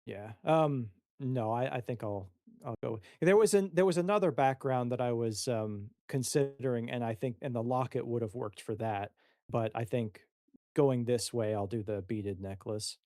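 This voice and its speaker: A man playing a tabletop game, chatting casually in a tentative, halting way. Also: medium pitch, mellow, dry recording, studio quality. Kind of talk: casual chat.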